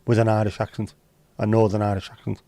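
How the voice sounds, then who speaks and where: Man, livestream host, casual teasing banter, warm mellow tone, dry room. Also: lowish voice, completely flat delivery, fast talker, no echo. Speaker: livestream host reading chat. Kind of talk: casual chat. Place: home livestream setup.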